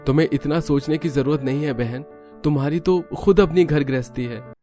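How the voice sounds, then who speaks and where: A man's voice: fairly high pitch, a little fast, warm tone, soft background music, voice pushed up, natural voice. A man voicing a kind, protective young brother in a cartoon story, modest and reassuring. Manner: reassuring, considerate. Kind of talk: Hindi cartoon story acting.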